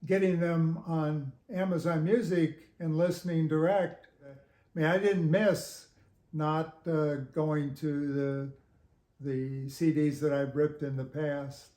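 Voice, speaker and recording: A man in his seventies giving casual interview answers in a halting, measured way with frequent pauses. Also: a little high, steady tone, dark tone. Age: 70s. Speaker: guest reviewer of audio gear. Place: home living room with hi-fi gear. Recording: echoey room.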